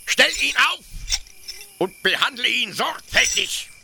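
An actor playing a zealous military officer who explains things eagerly, haltingly and pedantically in a German radio play. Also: male voice, extremely high pitch, lively intonation, gravelly, pushed and bright, a little hiss or hum, exaggerated voice. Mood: barking command. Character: zealous male military officer proudly explaining his execution machine.

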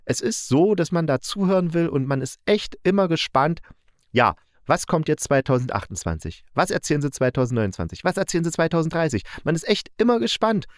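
A man's voice: a little high, big pitch swings, brisk, dry recording, studio quality. A man giving a casual spoken review, chatty and thinking aloud as he goes. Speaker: reviewer of audio dramas.

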